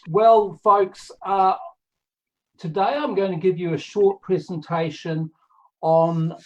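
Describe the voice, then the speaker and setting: A man with a New Zealand accent, a company managing director giving a presentation on a video call, speaking slowly and deliberately. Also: fairly high pitch. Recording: dull lo-fi video call audio.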